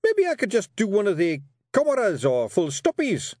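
A man's voice: sits high, huge pitch swings, moderate pace, a little mellow, dry recording, clean studio sound, pitched above the performer's own voice, full character voice. A man voicing a small fierce clan chief with a growling Scottish accent, brave but uneasy. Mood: grumbling, protesting. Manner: growling, gruff.

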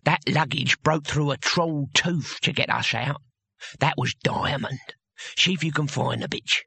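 A man voicing a skinny, stubborn old barbarian hero in an audiobook, with gruff, blunt speech. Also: fairly high pitch, a little slow, pushed and bright, no room sound, full character voice.

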